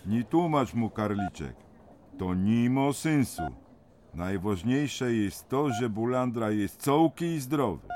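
An actor playing a tough, blunt Silesian miner in a radio drama, speaking in thick dialect. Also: male voice, medium pitch, animated, slow, darkish tone, a little hiss or hum. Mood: dismissive. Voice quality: earthy, dialect-heavy speech.

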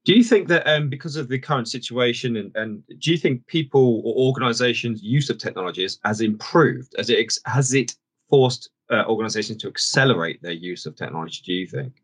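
A man hosting a podcast interview, upbeat and chatty, firing off quick questions. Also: mid-range voice, lively intonation, fairly bright tone, breathy edge. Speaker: podcast host.

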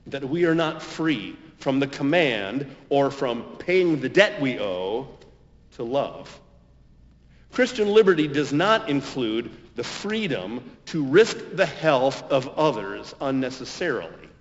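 Male pastor for a homily: slow, deliberate delivery with expressive swings in pitch, muffled reverberant recording. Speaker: pastor and preacher. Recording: dull, muffled sound with long reverberation.

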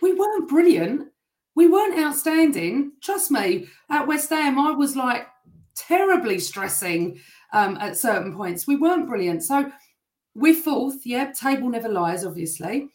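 A woman on a football fan panel, talking in an animated, emphatic way and cutting in on the others. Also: sits high, unhurried, reverberant. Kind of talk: casual football fan chat.

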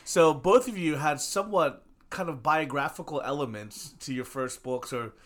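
A man's voice: on the high side, moderate pace, a bit bright. A man hosting a church interview as pastor, asking questions and offering short encouraging affirmations. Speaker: pastor and host. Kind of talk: casual interview.

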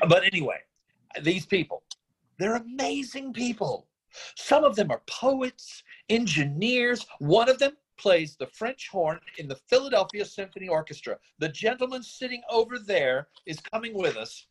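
A man acting a middle-aged, free-spirited father in a staged screenplay reading, earnest, effusive and persuasive, with halting phrases. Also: sits high, sing-song, slightly rough, full character voice. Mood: eager, persuasive.

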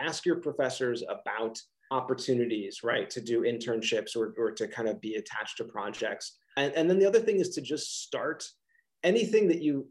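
A man's voice: mid-range voice, darkish tone. A middle-aged man with a Philadelphia accent, a college professor answering interview questions casually on a video call, with many restarts and fillers.

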